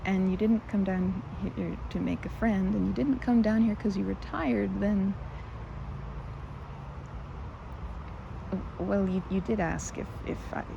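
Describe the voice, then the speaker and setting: A woman's voice: medium pitch, fairly even intonation, moderate pace, gravelly, muffled recording, noisy background. A woman playing a timid, socially anxious young woman in an ASMR roleplay, stammering and rambling nervously as she corrects herself. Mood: awkward, apologetic. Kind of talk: ASMR roleplay, intimate one-sided romantic dialogue.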